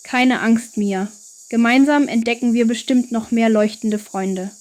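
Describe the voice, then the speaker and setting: A woman's voice: fairly high pitch, a little slow, darkish tone, lightly acted. A woman voicing a friendly, curious and reassuring young boy in a children's bedtime story.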